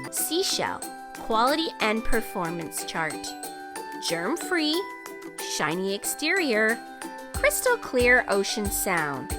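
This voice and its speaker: Woman, children's read-aloud, slow pace, very lively pitch, emphatic phrasing, kids' music behind. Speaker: children's story reader.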